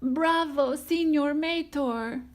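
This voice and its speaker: A woman voicing adoring, excitable female car fans cheering in a children's storybook. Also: high voice, takes it slowly, pitched above the performer's own voice. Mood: overjoyed. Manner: exclaiming, gushing.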